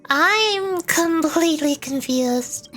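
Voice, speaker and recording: High-voiced woman streaming a game. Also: lively intonation, slow, fairly bright tone, soft background music. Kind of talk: casual game stream commentary.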